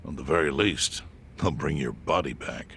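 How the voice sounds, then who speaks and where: Super deep old yakuza boss, calm n solemn, slow careful wording, game cutscene, bit of bg noise. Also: male voice, gravelly, heavily acted. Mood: dry, steady. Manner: measured, deliberate, solemn. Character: grave, calm older yakuza clan chairman. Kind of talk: video game cutscene dub acting.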